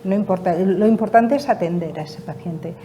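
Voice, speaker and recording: A woman's voice: mid-range voice, moderate pace, mellow, dull audio, a little hiss or hum. A woman representing a patients' association, answering interview questions emphatically and repeating her key points. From Spain.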